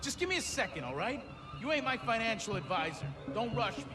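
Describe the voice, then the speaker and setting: An actor playing an irritated shady buyer in a video game, gruff, defensive and colloquial. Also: male voice, very high-pitched, sing-song, brisk. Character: irritated, haggling shady male toy buyer. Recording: music behind the voice.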